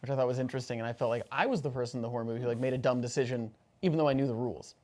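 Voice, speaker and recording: A man's voice: medium pitch, fairly even intonation, rapid-fire, darkish tone. A man new to tabletop games, chatting casually and informally after a session. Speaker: first-time tabletop game player. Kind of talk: casual post-game chat.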